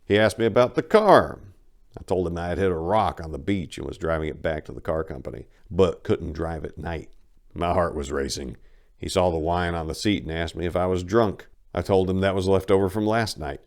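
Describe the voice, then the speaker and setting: Male narrator for dramatic audiobook, low-pitched, folksy first-person storyteller. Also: brisk. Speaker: narrator of a dramatic book reading.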